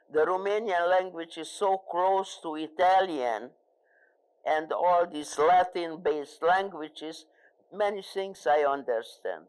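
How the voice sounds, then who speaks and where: Older Hungarian-accented woman, slow. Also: fairly low pitch, a little mellow, clear, resonant tone, low-quality recording. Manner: halting, searching for words with frequent fillers. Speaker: Holocaust survivor, guest speaker. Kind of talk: live interview, answering audience questions.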